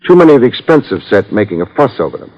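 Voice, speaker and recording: An actor playing a wry, skeptical private detective in a 1950s radio drama, with a dry, laconic, wisecracking delivery. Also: male voice, medium pitch, sing-song, racing, a little mellow. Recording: dull old radio recording.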